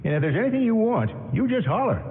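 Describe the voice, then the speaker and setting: A man's voice: high voice, big pitch swings, quick pace. A man playing an eager, folksy, obliging working fellow in a 1940s-style radio drama. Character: eager, folksy, high-voiced cabbie or lodging clerk. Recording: echoey hall, tinny radio sound, loud music.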